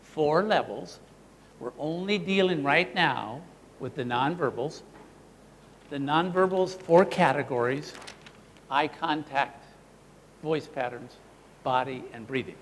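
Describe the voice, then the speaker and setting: A man's voice: on the high side, takes it slowly, long pauses, long reverb tail, a little hiss or hum. A man presenting a live workshop, teaching the audience and acting out voice examples himself. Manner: animated, demonstrative, models voices for the audience.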